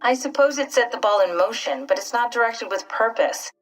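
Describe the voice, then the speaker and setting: A woman's voice: fairly high pitch, brisk, lightly acted. An actress voicing a pragmatic female scientist whose mind lives in a machine, with a dry, matter-of-fact way of explaining things in a video game. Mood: businesslike. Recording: echoey room, music underneath.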